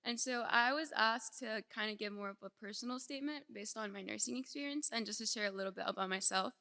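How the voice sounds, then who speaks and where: Young woman, high bright voice, conversational student speaker, informal personal testimony, reverberant hall. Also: quick pace. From born in El Salvador, raised in Houston from age seven. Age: young adult. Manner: conversational, frequent "like". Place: large indoor hall, speaking to an audience. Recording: echoey hall with long reverb.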